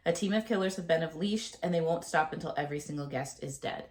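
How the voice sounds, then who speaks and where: A woman in her thirties chats to camera about her reading plans, sounding a little nasal and gravelly from a cold. Her voice sits on the low side, and she talks loosely, with plenty of ums and uhs. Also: quick pace, a little mellow. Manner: chatty, with frequent ums and uhs. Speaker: book vlogger. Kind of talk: casual chat to camera.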